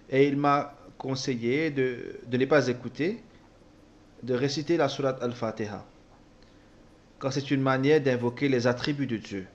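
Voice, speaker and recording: A man interpreting a personal testimony, speaking haltingly with repeated words and self-corrections. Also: mid-range voice, dull audio. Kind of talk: spoken translation of a personal testimony.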